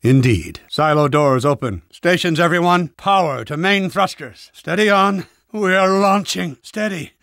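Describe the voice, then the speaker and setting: A man's voice: high-pitched, expressive, a little slow, few pauses, a bit bright, no echo, clean studio sound, heavily acted. A man playing a pompous, irritable older scientist in a video game, speaking with sarcastic, impatient, fussy enunciation. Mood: brisk, businesslike. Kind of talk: video game character voice acting.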